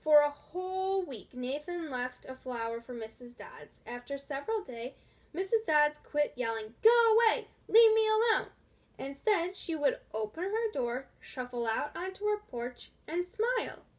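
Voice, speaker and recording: A woman reading a children's storybook aloud in a deliberate, expressive way. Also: high-pitched. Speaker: storybook reader. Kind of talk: scripted children's story narration.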